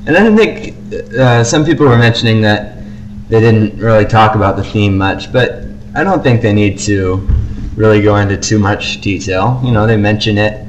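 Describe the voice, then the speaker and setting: A man co-hosting a podcast, giving casual, measured reviews in a conversational, hedging way. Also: fairly low pitch, lo-fi sound, slight background noise. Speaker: podcast co-host.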